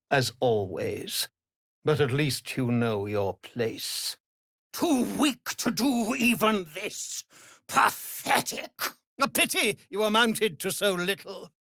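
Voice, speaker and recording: An actor playing a cruel, pompous immortal vampire lord in a fantasy video game, menacing and theatrical, moving between hushed threats and grand declarations. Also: male voice, sits high, sing-song, slow, gravelly, dry recording, clean studio sound, full character voice. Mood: contemptuous menace.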